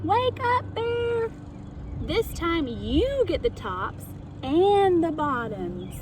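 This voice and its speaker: A woman plays a clever trickster hare from a children's storybook. His voice is very high and cheerful, with a sly sing-song lilt and an unhurried pace. The recording has clear background noise. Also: very animated, exaggerated voice. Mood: gleeful calling.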